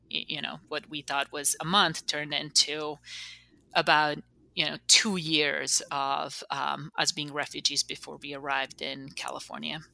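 A woman in her forties from Bosnia, recounting personal memories as an interview guest in a halting, reflective way. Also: low voice, gravelly, sharp tone. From Bosnia (former Yugoslavia). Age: 40s.

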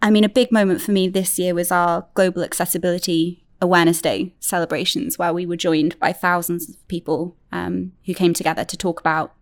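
A woman's voice: on the low side, flat delivery, fairly quick, hardly any pauses, no echo, studio quality. A woman who heads a team, chatting as a podcast guest in a friendly, enthusiastic way. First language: Welsh-speaking, fluent. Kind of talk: casual chat.

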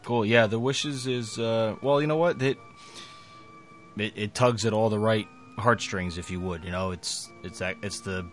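A man's voice: fairly low pitch, moderate pace, faint music behind. A man co-hosting a podcast, chatting casually, agreeing eagerly and rambling a little. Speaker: podcast co-host. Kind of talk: casual chat.

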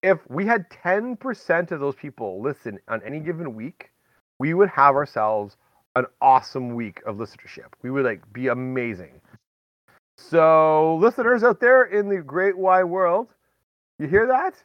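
A man's voice: fairly high pitch, expressive, moderate pace, no echo, dull audio. A man hosting a podcast in casual, rambling chat, talking directly to listeners with folksy exclamations. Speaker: podcast host.

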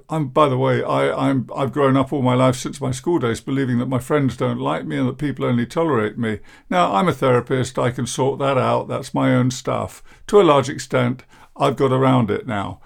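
Older male podcast host, deep gravelly croak, measured and downbeat, self-deprecating. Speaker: podcast host, therapist and coach. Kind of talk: reflective podcast monologue.

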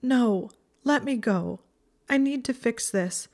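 A woman's voice: medium pitch, normal speed, darkish tone, close to an everyday voice. A woman voicing an anguished, guilt-ridden and fierce young female student in an audiobook, urgent and insistent. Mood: distraught, urgent.

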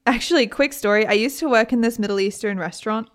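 A young woman with a British accent hosts a casual podcast, telling the story to her co-hosts. Her voice sits a little high, and she talks in an easy, chatty way with frequent asides. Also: fast talker. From Britain. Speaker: podcast host.

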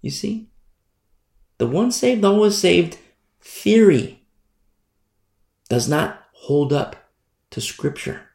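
A man preaching a sermon in an animated, repetitive style, acting out the people he criticizes. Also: high-pitched, slow pace, creaky, long pauses. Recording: echoey room sound.

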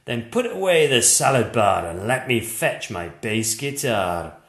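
A man doing a gentle old monster. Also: mid-range voice, big pitch swings, a little slow. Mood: cheerful. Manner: mild and reproachful. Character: gentle old male monster, kindly and hurt. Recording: roomy echoey room.

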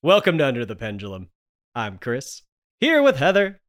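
Joking male podcast host, animated pitch. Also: normal speed. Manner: joking, absurd humor. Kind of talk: casual comic banter.

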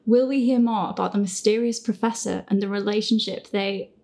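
A woman's voice: mid-range voice, steady tone, brisk, gravelly, dark tone. A woman hosting a casual group Q&A, chatting informally with a giggly manner. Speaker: host and creator of an audio drama. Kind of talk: casual, giggly panel Q&A chat.

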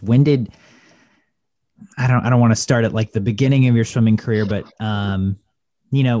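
A man's voice: lowish voice, dark tone, no room sound. A man hosting a podcast interview, asking long, rambling questions full of fillers and restarts. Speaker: podcast host. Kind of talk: casual interview conversation.

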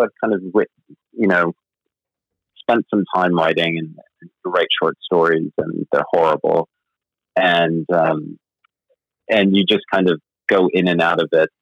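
Low-voiced, hesitant man on a muffled line. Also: a little slow, vocal fry, long pauses, dry recording. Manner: rambling and hesitant, full of restarts, self-deprecating. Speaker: novelist guest. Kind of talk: casual interview chat. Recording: slightly muffled dry sound.

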